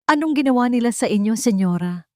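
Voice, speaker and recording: A woman's voice: on the high side, lively intonation, fast talker, mellow, no room sound, studio quality, voice pushed up, natural voice. Woman acting an anxious, devoted older housemaid. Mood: shocked, distressed. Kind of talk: dramatic audio story dialogue.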